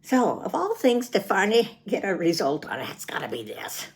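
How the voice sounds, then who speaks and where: A woman's voice: mid-range voice, sing-song, moderate pace. An American woman in her seventies talking casually in a personal video diary, chatty and rambling, prone to chuckling. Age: 70s. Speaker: vlogger.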